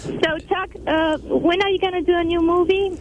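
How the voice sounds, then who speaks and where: Male caller, Romanian accent, extremely high-pitched, effusive and repetitive, faintly noisy call-in line. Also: steady tone, normal speed. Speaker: radio show caller. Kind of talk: casual call-in chat.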